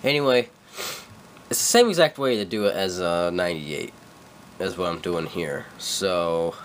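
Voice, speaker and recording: A man's voice: low-pitched, animated, measured pace, a little hiss or hum. Stuffy-nosed young man rambling through a tutorial. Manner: rambling, self-correcting, full of fillers. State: stuffy from a cold. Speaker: tutorial maker. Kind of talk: casual screen-recorded tutorial. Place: dim room at a computer.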